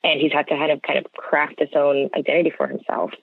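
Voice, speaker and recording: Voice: mid-range voice, level tone, quick pace, husky, no echo. An American interview guest speaking earnestly and conversationally, explaining points and often checking with "right?". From United States. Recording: narrow, tinny phone-line sound.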